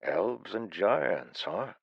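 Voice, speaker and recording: Man voicing calm wry farmer, low gravelly voice, mildly amused, muffled audiobook sound. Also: extremely slow, no room sound, exaggerated voice.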